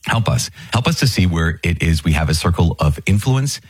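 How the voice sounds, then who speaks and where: A man's voice: low-pitched, expressive, moderate pace, slightly rough, dry recording, faint music behind. A man, a priest hosting a teaching podcast, explaining things conversationally and rephrasing mid-thought into a close mic. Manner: thinks aloud, rephrases mid-sentence.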